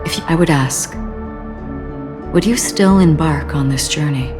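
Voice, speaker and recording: A woman playing a solemn, enigmatic wanderer in a video game, measured and melancholy in manner. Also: sits low, music in the background. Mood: solemn, questioning.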